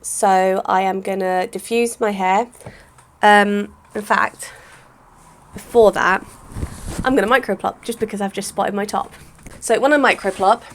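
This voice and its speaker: A woman, chatting casually through a hair-care vlog, a little croaky, rambling with lots of "sort of". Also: medium pitch, steady tone, a little slow. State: slightly croaky voice. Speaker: hair vlogger.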